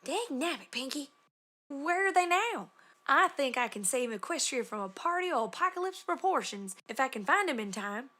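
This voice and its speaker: A woman's voice: high voice, very animated, normal speed, pushed and bright, heavily acted. A woman voicing an earnest country farm mare with a folksy rural Southern American drawl and polite, flustered manners. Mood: urgent.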